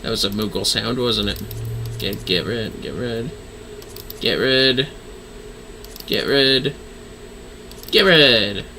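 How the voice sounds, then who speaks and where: A man's voice: medium pitch, animated, takes it slowly, long pauses, bright, forceful tone, slight background noise. A man livestreaming a video game with casual, offhand commentary and sweary joking asides.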